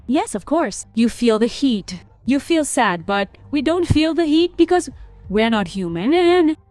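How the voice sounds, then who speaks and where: A woman's voice: sits high, big pitch swings, a little slow, darkish tone, no room sound. A woman playing an aggrieved young daughter-in-law in a family cartoon, speaking emphatically and reproachfully. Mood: indignant. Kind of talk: dramatic cartoon story dialogue.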